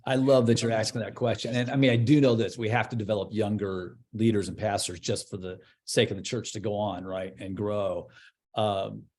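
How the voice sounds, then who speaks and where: A man's voice: lowish voice, flat delivery, moderate pace, darkish tone. A man in his fifties who is a guest in an interview. He speaks thoughtfully and casually, often searching for words and trailing off. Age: 50s. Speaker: church leader guest.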